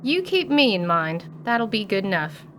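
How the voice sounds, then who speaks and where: A woman's voice: medium pitch, sing-song, moderate pace, fairly bright tone, slight background noise. A woman playing a brusque, cocky female goblin gang leader with a folksy, blunt way of talking.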